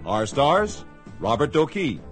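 A male radio announcer with a fairly high, animated voice. He reads a scripted program introduction over clear music. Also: slow.

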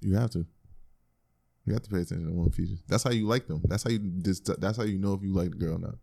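A young man co-hosting a casual chat, talking loudly and profanely with lots of repeats and hype. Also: low-pitched, quick pace, vocal fry. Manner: loud, profane, animated storytelling. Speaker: podcast co-host.

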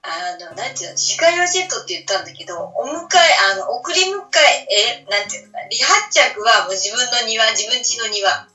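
A woman's voice: on the high side, lively intonation, brisk, pushed and bright. A woman co-hosting a casual podcast, speaking informal Japanese with a Kyushu accent in a teasing, musing way. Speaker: podcast co-host. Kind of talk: casual chat. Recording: roomy, distant, muffled audio with music.

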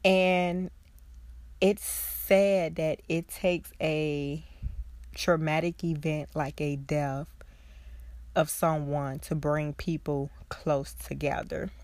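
Congested woman host, slow and low. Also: level tone, darkish tone, dry recording. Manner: earnest, heartfelt, slow and reflective. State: congested with a cold. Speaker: podcast host, social worker. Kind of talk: casual solo podcast talk.

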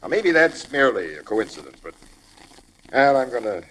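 An actor playing a gruff, sardonic homicide captain with a dry, businesslike manner in a 1940s radio crime drama. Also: male voice, medium pitch, very animated, moderate pace, fairly bright tone. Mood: businesslike, concerned. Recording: noisy old-time radio recording.

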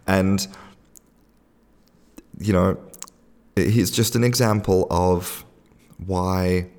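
A man's voice: deep voice, darkish tone, big-room sound. A man hosting a podcast, telling a casual story with lots of restarts and fillers. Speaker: podcast host. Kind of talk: casual spoken podcast monologue.

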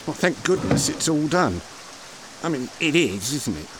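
A man's voice: fairly high pitch, big pitch swings, moderate pace, heavy background noise. An actor in a comedy audio drama playing a fussy, officious man with a pedantic manner. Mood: relieved.

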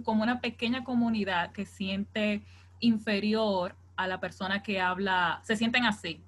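A woman in casual chat, acting out what people say. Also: mid-range voice. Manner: animated, acts out quotes.

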